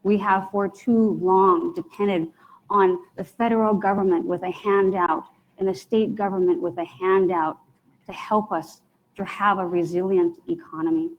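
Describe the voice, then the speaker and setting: A woman's voice: mid-range voice, fairly even intonation, normal speed, narrow, tinny audio. A woman giving an earnest campaign introduction as a mayoral candidate, building her points in rhetorical lists.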